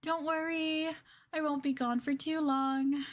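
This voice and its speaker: A woman's voice: high voice, flat delivery, measured pace. A woman playing a clingy, sweetly possessive young girlfriend in an ASMR roleplay, with a coy, hesitant, coaxing delivery. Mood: hesitant, soft. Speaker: streamer performing ASMR roleplay.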